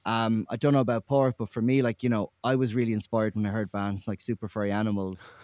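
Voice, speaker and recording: A man's voice: fairly low pitch, little pitch movement, fast, darkish tone, dry recording, studio quality. A man, a musician answering interview questions thoughtfully and with some hesitation. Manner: thoughtful, hesitant, many likes. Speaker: musician guest.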